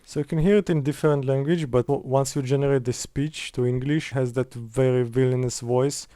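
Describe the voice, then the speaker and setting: A man's voice: medium pitch, steady tone, fairly quick, mellow, no echo. A man presenting a casual step-by-step screen tutorial in a conversational way.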